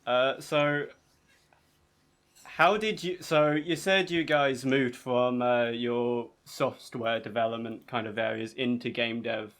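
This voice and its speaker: A man's voice: mid-range voice, fairly even intonation, slow pace, fairly bright tone. A man hosting a podcast interview, casually asking questions and answering with short affirmations. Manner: short affirmations, loose phrasing. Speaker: podcast host.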